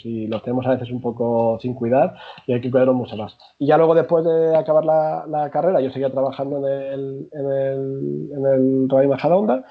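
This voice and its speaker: Chatty Spanish man, lo-fi sound. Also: medium pitch. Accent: Spanish, Madrid. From Madrid, Spain. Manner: chatty, frequent restarts, warm laughter. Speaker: guest researcher and university lecturer. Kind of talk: conversational interview. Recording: slightly dull lo-fi sound.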